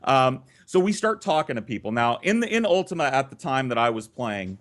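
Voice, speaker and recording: Man, mid-pitched voice, casual comedic storytelling, profane, acts out dialogue. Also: fairly quick. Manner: profane, animated re-enacting of conversations. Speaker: storyteller and host.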